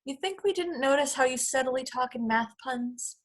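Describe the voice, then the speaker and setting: A woman's voice: sits high, animated, normal speed. A woman playing a blunt, easily bored alien overseer in a comedy table read. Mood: condescending. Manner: blunt, dismissive.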